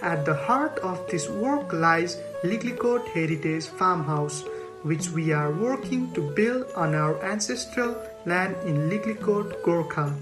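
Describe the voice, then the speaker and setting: A woman from Nepal giving a scripted presentation, reading prepared text in a measured, formal way. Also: lowish voice, expressive. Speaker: community organisation co-founder. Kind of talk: scripted presentation in a recorded video. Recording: plucked string music behind the voice.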